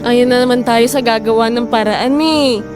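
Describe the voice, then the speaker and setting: A woman's voice: on the high side, fairly even intonation, normal speed, music in the background, close to an everyday voice. A woman playing a weary, frustrated wife who worries over household money in a Filipino audio drama. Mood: upset, despairing. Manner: complaining, pleading, emotive.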